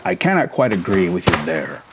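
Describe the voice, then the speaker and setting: A man's voice: low-pitched, sing-song, a little fast, noisy background. A man voicing a genteel middle-aged father in a storybook, speaking formally with dry, teasing mock seriousness. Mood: mock-serious.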